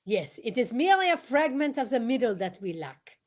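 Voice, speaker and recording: A woman's voice: a little high, very animated, brisk, very noisy. A woman playing an assured, courteous male Belgian detective, formal and theatrical, in a radio drama. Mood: concerned, knowing. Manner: formal, theatrical, peppered with French exclamations. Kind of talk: old-time radio detective drama acting.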